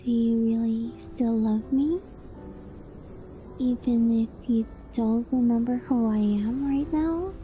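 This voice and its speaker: Woman doing a clingy obsessed fox girl wife, asmr, high n really soft mellow voice, slow, coy, bit whispery. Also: faint music behind, rough recording. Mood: adoring. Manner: coy, hesitant, affectionate, softly breathy with whispered phrases. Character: doting, clingy, obsessive young kitsune fox-spirit wife. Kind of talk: ASMR roleplay, intimate sleep-aid monologue.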